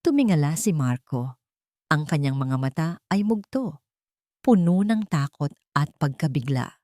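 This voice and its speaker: A woman narrating a dramatic love story in a steady, scripted storytelling manner. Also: low voice, measured pace, no room sound, studio quality.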